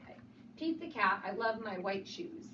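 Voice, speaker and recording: A woman reading a picture book aloud to children in an animated, sing-song way, with repeated refrains and questions. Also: medium pitch, moderate pace. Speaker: storybook reader. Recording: roomy, distant, dull sound with faint noise.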